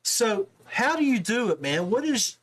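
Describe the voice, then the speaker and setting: A man's voice: high-pitched, big pitch swings, measured pace, a bit bright, husky, low-quality recording. A man hosting a casual sports podcast interview, talking in a chummy, familiar way with guest and listeners.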